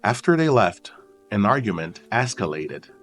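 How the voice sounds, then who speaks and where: A man reading scripted true crime documentary narration in a solemn, suspenseful manner with deliberate dramatic pauses. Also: on the low side, very animated. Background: background music.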